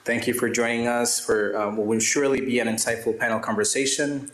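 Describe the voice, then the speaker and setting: A man's voice: medium pitch, little pitch movement, fairly quick, fairly bright tone. Formal male presenter in echoey room. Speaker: event presenter. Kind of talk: scripted speech with small ad-libs. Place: event room with audible echo. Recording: echoey live event room.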